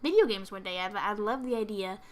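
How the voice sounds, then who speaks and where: A young woman with a hoarse, raspy voice, still recovering from being sick, rambling unscripted in a vlog and laughing often. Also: medium pitch, animated, a little fast. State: hoarse after illness. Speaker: video creator. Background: loud music.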